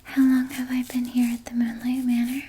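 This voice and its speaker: A woman voicing a sly, doting vampire nurse in a breathy, soothing whisper for an ASMR roleplay. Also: fairly high pitch, monotone, a little hiss or hum, natural voice. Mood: smug, coy. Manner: slow, soothing, coaxing, softly articulated. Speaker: ASMR performer.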